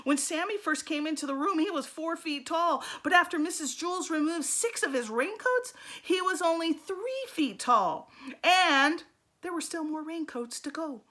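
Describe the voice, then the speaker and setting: A woman teacher reading a children's storybook aloud, expressively and with deliberate dramatic emphasis. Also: extremely high pitch, animated, bright, forceful tone.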